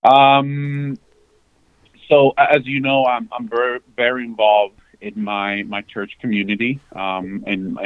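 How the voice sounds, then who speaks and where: A man in his forties from the Dominican Republic, a guest talking haltingly with many fillers and restarts. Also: medium pitch, flat delivery, smooth, no echo. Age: 40s. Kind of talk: candid conversational interview. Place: parked outside a sports venue. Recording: dull lo-fi remote call.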